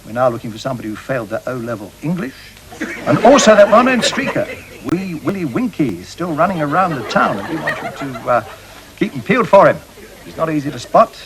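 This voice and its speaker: A man's voice: a little high, very animated, fast, a crowd in the background, rough recording. A man playing a mock-serious television crime appeal presenter, earnestly delivering puns in a parody broadcast style.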